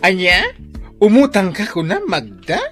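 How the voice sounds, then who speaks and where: Young opinionated boarder, swooping pitch, exclamatory, drawn-out phrases, Filipino accent, radio drama. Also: male voice, wildly swooping pitch, fairly bright tone, soft background music, exaggerated voice. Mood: reluctant.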